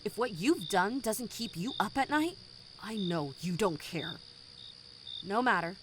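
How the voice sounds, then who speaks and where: A woman playing a bitter, vengeful rebel commander in an audio drama, speaking with formal, deliberate menace. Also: mid-range voice, lively intonation, noisy background, close to an everyday voice. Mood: cold, menacing.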